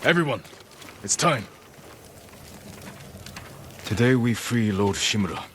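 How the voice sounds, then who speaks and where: An actor playing a determined samurai lord in a video game, earnest, restrained and commanding. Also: male voice, mid-range voice, lively intonation, takes it slowly, a little hiss or hum. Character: solemn, determined samurai lord leading warriors. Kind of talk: video game cutscene acting.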